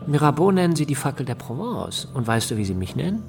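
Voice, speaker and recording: An actor playing a cautious, principled, self-doubting politician in a radio drama, speaking in a measured, earnest way. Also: male voice, fairly high pitch, sing-song, vocal fry, faint music behind. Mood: wary, earnest.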